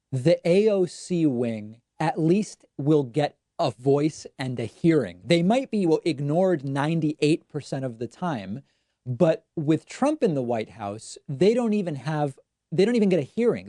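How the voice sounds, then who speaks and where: A man's voice: a little high, sing-song, a little slow, mellow, no echo. A male host of a call-in talk show, asking callers dry, probing questions.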